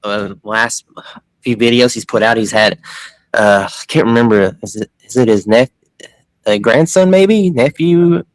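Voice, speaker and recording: A man, hosting a podcast in a laid-back, halting way with frequent restarts. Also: mid-range voice, no echo, low-quality recording. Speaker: podcast host. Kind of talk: casual podcast chat.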